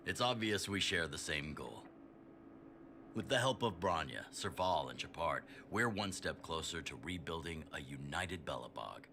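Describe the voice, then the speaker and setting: An actor playing a gruff, pragmatic older resistance leader in a video game, blunt and commanding in a measured way. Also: male voice, rumbling low, expressive, bright, forceful tone, a little hiss or hum, heavily acted. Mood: resolute. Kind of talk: scripted video game voice acting.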